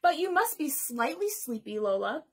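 Woman as a high-voiced, patient storybook big brother. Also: very animated, moderate pace. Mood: coaxing. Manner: coaxing, questioning.

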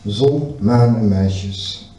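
A man reciting a poem at a stand microphone on a small stage, calm and measured with a steady rhythm. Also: on the low side. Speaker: poet. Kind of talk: poetry reading. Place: small indoor stage with curtains. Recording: roomy distant live stage recording.

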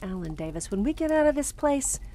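An actress playing a sardonic, uneasy young wife on honeymoon, in old-time radio drama style. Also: female voice, high voice, sing-song, brisk, warm tone, slight background noise. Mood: exasperated, uneasy. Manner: dry, sarcastic.

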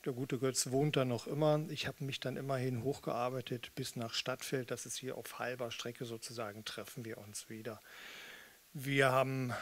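Middle-aged man from eastern Germany talking on stage. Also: medium pitch. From Magdeburg, eastern Germany. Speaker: musician and spoken-word performer. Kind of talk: casual stage talk introducing a song. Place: small cinema or theatre hall, indoors. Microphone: stand microphone with pop filter, close.

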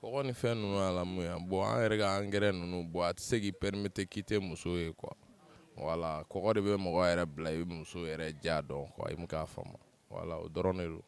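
A middle-aged man with a Malian accent, calmly explaining his view to a street interviewer outdoors. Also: deep voice, normal speed, creaky, clear, resonant tone. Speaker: passer-by. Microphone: handheld held by interviewer.

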